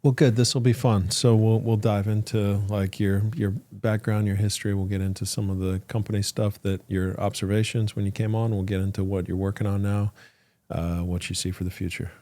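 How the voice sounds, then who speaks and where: A male podcast host with a very deep, dark voice. He asks relaxed, jokey questions and keeps the conversation easy. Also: a little fast.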